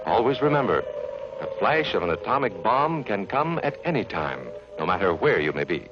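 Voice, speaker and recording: A man's voice: on the low side, very animated, normal speed, dull audio, music in the background. A man narrating an educational film for children in an earnest, friendly, instructive way, from an old 1950s soundtrack.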